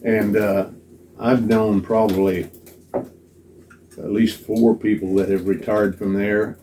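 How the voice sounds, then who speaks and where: A man's voice: on the low side, flat delivery, takes it slowly, noisy background. An elderly man with a Missouri Ozarks accent, casually reminiscing as a great-grandfather telling family history. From Squires, Missouri Ozarks, USA. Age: 70s or older. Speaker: great-grandfather being interviewed. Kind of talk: unhurried reminiscing, casual storytelling.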